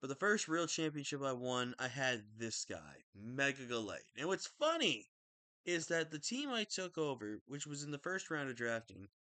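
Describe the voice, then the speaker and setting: Young man, casual rambling, slightly raspy, bright forceful voice, dry close sound. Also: medium pitch, normal speed, dry recording. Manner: rambling, self-correcting, excitable. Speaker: draft league player. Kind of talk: casual video commentary.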